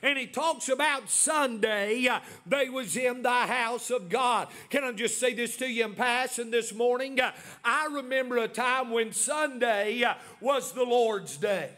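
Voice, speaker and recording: A man's voice: very high-pitched, a little slow, bright, forceful tone, soft background music. A man in his forties preaching a sermon as pastor, with many "uh" fillers and emphatic repetition. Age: 40s.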